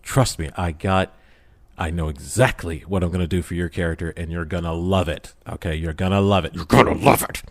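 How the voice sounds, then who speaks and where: A man's voice: sits low, steady tone, a little fast, no echo. A man chatting casually as a podcast guest, with a jokey, self-deprecating manner. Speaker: podcast guest, audio drama creator. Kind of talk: animated casual podcast chat.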